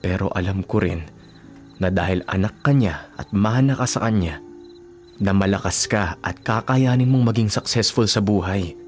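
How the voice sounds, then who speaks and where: A man playing a devoted young working-student boyfriend in a Filipino radio drama, speaking gently, earnestly and reassuringly. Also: lowish voice, moderate pace, soft background music, natural voice. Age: young adult.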